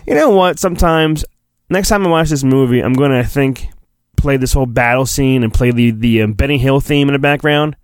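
A man's voice: medium pitch, a little fast, no echo, clean studio sound. A man hosting a podcast, reading a storybook in a mock-dramatic, playful way with casual asides.

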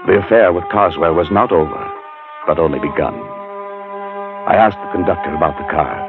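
Deep-voiced haunted scholarly man. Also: moderate pace. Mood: haunted, suspenseful. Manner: theatrical, suspenseful storytelling. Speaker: narrator and lead actor in a radio play. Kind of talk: 1940s radio horror acting, dramatic first-person narration. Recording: dull 1940s radio recording, loud music behind.

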